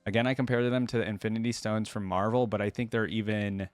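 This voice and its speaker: A man's voice: lowish voice, little pitch movement, fast, clear, resonant tone, dry recording, faint music behind. A man giving casual commentary to viewers as a reaction host, thinking aloud and wandering off on digressions. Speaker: video creator, reaction host.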